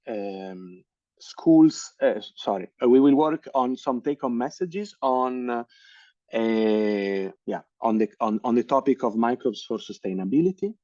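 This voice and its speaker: A man in his thirties with an Italian accent lectures over a video call. His tone is mellow and somewhat dark. He hesitates often and restarts sentences. The sound is dry and a little muffled. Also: mid-range voice, no room sound. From Italy. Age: 30s. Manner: hesitant, many fillers and restarts. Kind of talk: online lecture.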